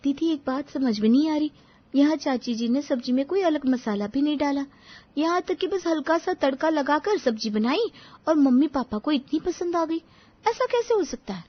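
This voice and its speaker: A woman's voice: sits high, lively intonation, moderate pace, lo-fi sound. A woman playing an eager, naive young daughter-in-law in a cartoon family story. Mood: puzzled.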